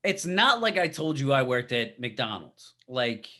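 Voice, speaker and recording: A man around forty, a podcast co-host, chatting casually in a halting way and repeating himself. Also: mid-range voice, big pitch swings, bright, forceful tone. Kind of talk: casual chat.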